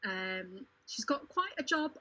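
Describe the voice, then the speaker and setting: Slow-paced, bright woman, lo-fi. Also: mid-range voice, big pitch swings. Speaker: cast member on a panel. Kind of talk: casual panel chat.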